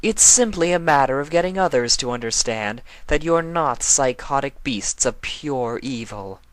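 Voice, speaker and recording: A man's voice: mid-range voice, lively intonation, moderate pace, dry recording, pitched above the performer's own voice, lightly acted, poor audio. A man voicing a proud, haughty alicorn queen villainess with an imperious, theatrical delivery in a fan fiction reading.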